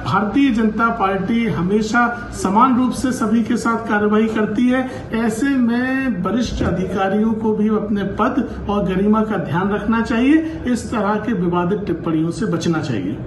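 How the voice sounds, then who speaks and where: A man's voice: high voice, measured pace, hardly any pauses. A man giving a formal press statement as a political party spokesperson. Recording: echoey room with crowd noise. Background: crowd chatter.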